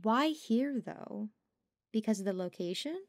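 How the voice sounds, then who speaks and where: A woman's voice: on the high side, lively intonation, unhurried, dry recording, clean studio sound. A woman playing a curious, bookish young researcher in an audio drama, speaking thoughtfully and conversationally. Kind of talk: audio roleplay drama acting.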